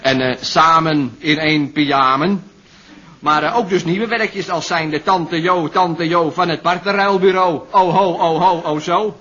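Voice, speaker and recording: An actor playing a slangy, rambling young pop musician in an old radio comedy. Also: male voice, on the high side, fairly even intonation, measured pace, bright, forceful tone. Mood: cocky, boastful. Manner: rambling, slangy, full of tags like "you know". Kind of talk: 1970s Dutch radio comedy acting. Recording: muffled old radio recording.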